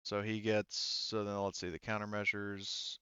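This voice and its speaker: Halting male game master, smooth-voiced. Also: fairly low pitch, monotone, pushed and bright, no room sound, highs cut off. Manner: halting, thinking aloud. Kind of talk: narrating a tabletop game session.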